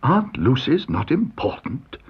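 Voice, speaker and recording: A man's voice: medium pitch, huge pitch swings, unhurried, slightly rough, highs cut off, full character voice. A man voicing a polite, earnest young bear who takes everything literally, in a storybook reading.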